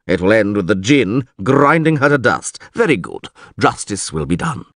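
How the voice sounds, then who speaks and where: A man's voice: lowish voice, sing-song, moderate pace, fairly bright tone, dry recording, clean studio sound. A man voicing a sardonic, know-it-all bald male priest who lectures the people around him with scorn. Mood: gloating.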